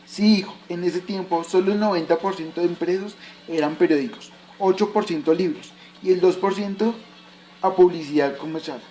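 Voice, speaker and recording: A man's voice: high-pitched, normal speed, warm tone, natural voice, poor audio. A man playing a patient father who explains history to his son in a recited, didactic way, in an educational radio drama. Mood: explanatory.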